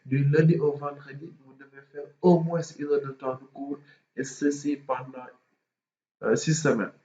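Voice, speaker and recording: Man, stuffy from a cold, halting explanations, dull echoey recording. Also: fairly high pitch, little pitch movement, mellow. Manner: hesitant, many fillers and repetitions. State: has a cold, congested voice. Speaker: student mentor. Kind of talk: informal explanatory video.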